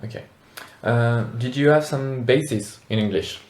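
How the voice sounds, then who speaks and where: A man conducts an interview in a mid-pitched voice, putting short, plain questions and adding the odd filler. His voice carries a roomy echo. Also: measured pace, darkish tone.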